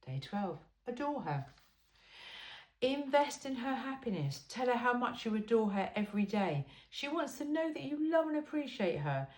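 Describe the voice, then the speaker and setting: A woman's voice: a little high, sing-song, normal speed, darkish tone. A woman reading a self-help book aloud in an informal, blunt and emphatic way.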